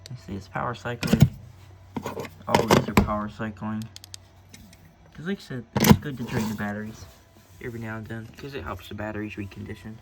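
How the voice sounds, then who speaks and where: A man's voice: on the low side, flat delivery, a little fast, creaky, long pauses, very noisy. An American man talking casually and unscripted as he shows off a collection, rambling and hesitant, often correcting himself. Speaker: radio collector showing off collection. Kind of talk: casual, unscripted show-and-tell. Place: indoors at home.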